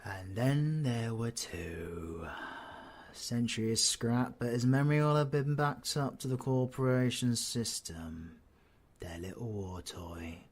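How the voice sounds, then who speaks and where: A man's voice: mid-range voice, slow pace. A man with a British accent playing a cocky, foul-mouthed supervillain in an audio roleplay, full of flippant, mocking banter. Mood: smug teasing.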